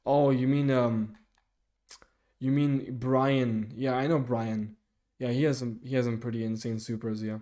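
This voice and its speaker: A young man talks with his live viewers, picking up their comments one by one. His voice sits at an ordinary pitch but sounds mellow and dark. He stops and restarts a lot, filling the gaps with "um" and "like.". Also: fairly even intonation. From Sweden. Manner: halting, many restarts and fillers. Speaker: streamer. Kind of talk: casual live chat reading viewer comments.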